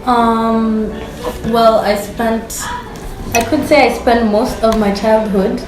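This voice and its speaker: A woman's voice: on the high side, level tone, slow, music in the background. A young woman with an East African accent, from Kenya and Uganda, chatting casually as an interview guest. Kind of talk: casual chat. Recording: roomy echo, noticeable background noise.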